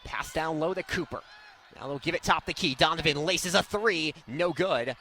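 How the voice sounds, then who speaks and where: Bright, high-pitched young male play-by-play announcer. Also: moderate pace, slight background noise. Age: young adult. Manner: excitable, swelling on big plays. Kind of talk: live basketball play-by-play commentary. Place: courtside at indoor basketball game.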